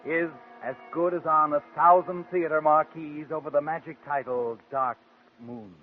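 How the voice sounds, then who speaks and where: An actor playing a knowing storyteller who narrates a 1940s radio suspense tale dramatically, straight to its protagonist. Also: male voice, a little high, steady tone, normal speed, natural voice. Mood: knowing, insinuating. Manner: dramatic second-person narrating. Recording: phone-like old 1940s radio recording.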